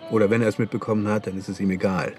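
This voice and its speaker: Male actor as menacing intelligence officer, crime radio play. Lowish pitch, measured dry delivery, faint music behind. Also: level tone, darkish tone, natural voice. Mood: cynical. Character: cynical, menacing British intelligence agent recruiting an informant. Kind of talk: German radio crime drama acting.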